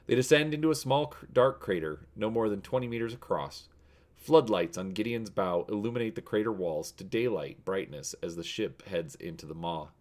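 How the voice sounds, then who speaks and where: A man hosting and narrating a dramatic reading of an adventure storybook. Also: deep voice. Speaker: host and narrator.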